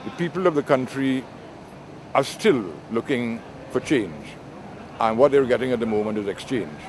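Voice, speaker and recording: Older Trinidadian man, measured commentary. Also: mid-range voice, expressive, a little mellow, a little hiss or hum, rough recording. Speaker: interview guest. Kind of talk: political commentary in an interview.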